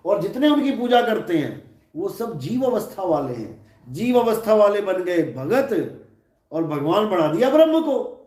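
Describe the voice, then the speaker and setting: Man preaching at a slow, measured pace, posing questions and answering them, echoey hall sound. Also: high voice, big pitch swings, a little mellow. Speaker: spiritual teacher. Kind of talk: live satsang discourse. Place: large hall.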